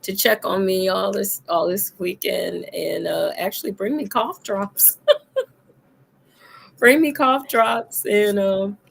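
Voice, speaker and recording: Woman, talk-show host, hoarse from illness, deep rough voice, slow talker. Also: slight background noise. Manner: laughs often, coughs between lines. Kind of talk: casual chat show hosting.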